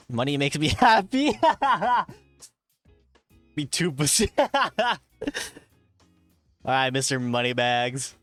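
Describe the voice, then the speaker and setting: A man's voice: on the high side, big pitch swings, very slow, long pauses, a bit bright, no echo. A young man of Thai heritage chatting casually on a live stream, reading chat aloud, swearing and laughing often. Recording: faint music behind.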